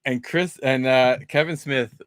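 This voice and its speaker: A man's voice: mid-range voice, steady tone, unhurried, background noise. A man talking casually and enthusiastically as a rambling panelist on a movie discussion show.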